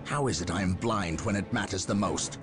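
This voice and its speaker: A man voicing a deep, guilt-haunted warrior captain. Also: fairly quick, music in the background, close to an everyday voice. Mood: grim. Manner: intense, anguished, confrontational. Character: grim, guilt-haunted male warrior captain, middle-aged. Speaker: military captain. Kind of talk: video game cutscene voice acting. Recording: voice over orchestral game score.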